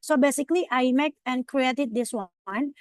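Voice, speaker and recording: A woman's voice: sits high, moderate pace, dry recording, studio quality. Hesitant Indonesian woman presenting online. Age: young adult. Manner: hesitant, many fillers and restarts.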